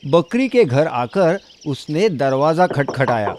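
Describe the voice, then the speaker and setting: A man's voice: a little high, sing-song, takes it slowly, background noise. A man narrating a scripted children's story.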